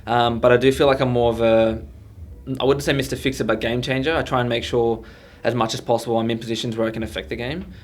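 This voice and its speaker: A man's voice: mid-range voice, fairly even intonation, fast. A young Australian man, a footballer, answering questions in a casual interview.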